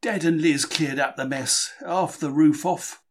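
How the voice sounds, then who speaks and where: A man's voice: a little high, unhurried. A man reading a diary dramatically as a gutsy, emotional Cockney bookseller in wartime, colloquial and confiding. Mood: resolute. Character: gutsy, emotional working-class London bookseller diarist in wartime. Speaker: reader.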